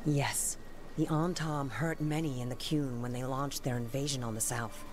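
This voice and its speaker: A woman playing a solemn, guarded female scholar with horns in a video game, speaking in a formal, measured way. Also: rumbling low, steady tone. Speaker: linguist.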